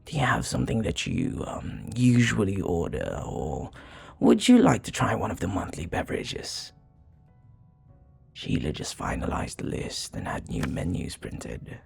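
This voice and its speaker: A man acts out a seductive vampire bartender in an intimate ASMR roleplay. His voice is low and breathy, and he flatters at a slow, teasing pace with small hesitations. Soft music plays behind him. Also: full character voice. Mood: friendly, easygoing. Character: flirty, charming male vampire bartender, smooth teasing flatterer.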